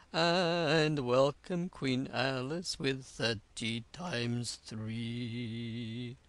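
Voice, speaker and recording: A man voicing a shrill-voiced singer who chants verses slowly in a sing-song way. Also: fairly bright tone.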